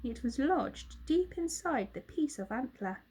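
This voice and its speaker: Woman as Mister Trundle, a soft-spoken dazed father. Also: high voice, sing-song, a little slow, breathy edge, voice pushed up, full character voice. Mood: amazed relief. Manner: soft, hesitant.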